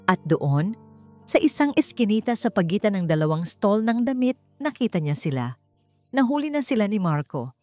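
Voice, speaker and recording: A woman's voice: lowish voice, warm tone, no room sound. A woman narrating a dramatic audiobook story in a scripted storytelling style. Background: background music.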